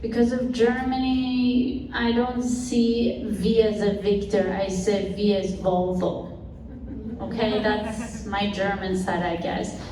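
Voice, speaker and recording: A woman speaking slowly and earnestly as a panelist at a live event. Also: medium pitch, little pitch movement. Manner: slow, deliberate, earnest. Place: event hall. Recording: very echoey hall, far off, background noise.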